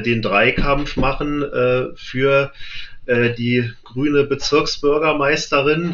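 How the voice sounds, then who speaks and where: A man hosting an interview in a casual, conversational way, feeling his way haltingly through his phrases. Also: medium pitch, a bit bright. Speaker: interview host. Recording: slightly dull, lo-fi sound.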